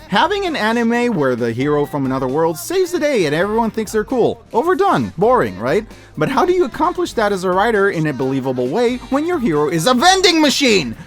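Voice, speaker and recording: Male reviewer, high register, very expressive swings, emphatic and wry, casual scripted commentary over music. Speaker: video reviewer.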